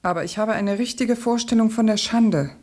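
A woman's voice: on the high side, normal speed, natural voice. An actress in a radio play playing a principled, compassionate woman revolutionary, speaking earnestly and pointedly. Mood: defiant, earnest.

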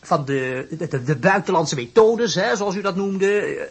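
A flustered academic surgeon in a radio drama. His voice is high and very hoarse-edged, leaping around in pitch. He is pompous yet hesitant, stammering his way along. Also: lively intonation, moderate pace. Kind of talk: radio play acting.

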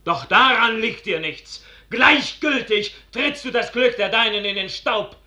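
Impassioned young nobleman, high tense voice, anguished verse declamation, roomy 1950s radio drama sound. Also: animated, measured pace, bright, forceful tone, heavily acted. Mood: wounded, reproachful. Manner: fervent, declamatory. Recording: echoey 1950s radio recording.

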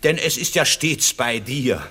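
An actor playing an ardent, courtly prince in a classical verse radio drama, declaiming insistently. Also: male voice, mid-range voice, a little slow, sharp tone, breathy edge. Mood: courtly irony.

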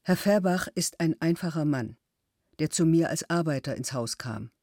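A loyal witness vouches earnestly for a worker he trusted. The voice is somewhat high and sounds dry and close. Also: animated, normal speed, a little mellow, no room sound. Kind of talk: reading a witness statement.

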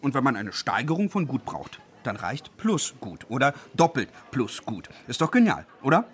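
An actor in a radio play as a zealous, pedantic dictionary compiler who speaks with gloating enthusiasm. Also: male voice, medium pitch, wildly swooping pitch, moderate pace. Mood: pedantic passion. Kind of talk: radio play dialogue acting. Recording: faint background noise.

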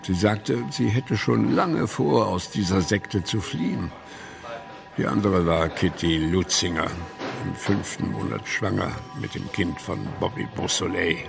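A detective w very gravelly voice, sober account, music behind. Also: male voice, low-pitched, heavily acted. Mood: sober, matter-of-fact. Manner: matter-of-fact, measured recounting. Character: sober male police detective giving his account. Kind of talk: German docudrama radio play testimony.

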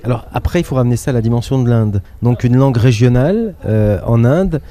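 Very dark-voiced man hosting a travel show. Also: medium pitch, a little fast. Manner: prompting, asks questions. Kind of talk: conversational interview. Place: outdoor public gardens.

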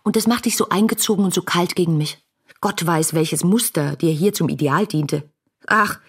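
A woman's voice: lowish voice, slightly rough. An actress in a radio drama playing an impassioned, infatuated female letter writer of the early nineteenth century, speaking in a poetic, intimate way. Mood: jealous, teasing.

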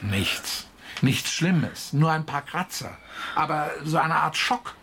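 A woman's voice: low voice, lively intonation, takes it slowly, fairly bright tone, heavily acted. Reassuring woman, faint background noise. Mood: reassuring, apologetic. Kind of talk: naturalistic German radio drama acting.

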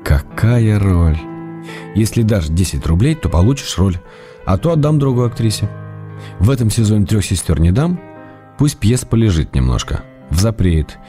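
An actor voicing an affectionate writer in a radio drama, reading his letters in a measured, dryly humorous way. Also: fairly low pitch, expressive, warm tone, soft background music, lightly acted. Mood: wry. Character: wry affectionate writer writing to his actress wife. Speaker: letter reader.

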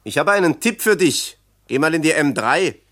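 An actor playing an evasive East German works official, measured and condescending, in a German radio play. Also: male voice, a little high, animated, bright, forceful tone. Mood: firm, official.

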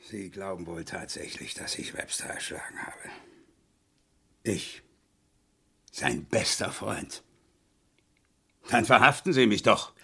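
An actor playing a proud, bitter war veteran who is indignant and defiant, in a theatrical German crime radio play style. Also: male voice, low-pitched, animated, slow pace, vocal fry, pauses often, sharp tone, husky, in a whisper, full character voice. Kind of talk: German crime radio play acting, mid to late 20th century.

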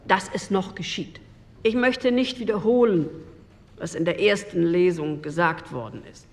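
A woman's voice: mid-range voice, natural voice. An actress playing a firm woman delegate who argues her point with pointed rhetoric in a radio drama. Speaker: politician speaking in a committee debate. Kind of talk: radio drama reenactment of a parliamentary speech. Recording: long hall reverb.

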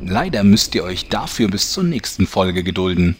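A man's voice: low-pitched, big pitch swings, moderate pace, slight background noise. A man narrating a parody audio drama as a mock-dramatic storyteller.

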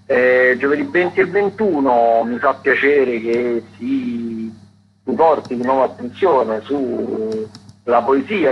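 A man of middling pitch gives a loose, unscripted listings round-up for radio. He hesitates and digresses, and the sound is somewhat dull with a faint hum. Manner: hesitant, digressive, frequent self-corrections. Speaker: theatre critic, radio contributor.

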